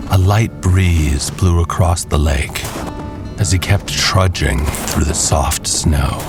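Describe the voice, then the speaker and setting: A man narrating a scripted story with measured, dramatic, drawn-out phrasing. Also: low-pitched.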